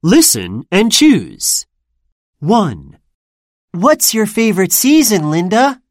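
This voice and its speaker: Slow, very lively woman reading a drill. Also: mid-range voice, dry recording, studio quality. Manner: slow, deliberate reading of words for repetition. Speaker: lesson narrator. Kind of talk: scripted textbook listening drill.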